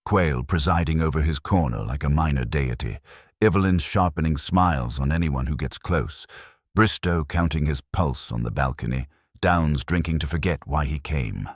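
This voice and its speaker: A man plays a young private detective in a mystery audiobook. The voice is extremely low and the delivery brisk and wry, full of dry wisecracks. The recording is close and dry. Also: no room sound.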